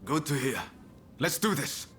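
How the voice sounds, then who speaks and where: A man's voice: medium pitch, big pitch swings, measured pace, music in the background. A man playing an easygoing, friendly ronin comrade in a video game, informal and conversational. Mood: eager. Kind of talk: video game cutscene acting.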